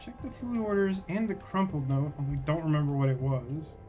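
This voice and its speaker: A man's voice: on the high side, animated, brisk, warm tone, soft background music. A man doing casual livestream game commentary, thinking aloud in a wry, rambling way as he chats with viewers.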